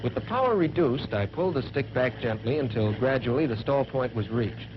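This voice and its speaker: A man's voice: mid-range voice, lively intonation, a little fast, close to an everyday voice. A man playing a young American fighter pilot, narrating a 1940s military training film in a plain-spoken, folksy first-person way. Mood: matter-of-fact, confident. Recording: dull, very noisy 1940s film soundtrack.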